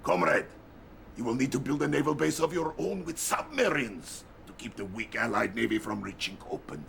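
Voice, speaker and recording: Boisterous, swooping Russian-accented Soviet premier. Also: male voice, fairly high pitch, creaky, a bit bright, a little hiss or hum, heavily acted. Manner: theatrical, grandiose, playful. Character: boisterous, scheming Soviet premier, jovial and menacing. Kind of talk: video game cutscene acting.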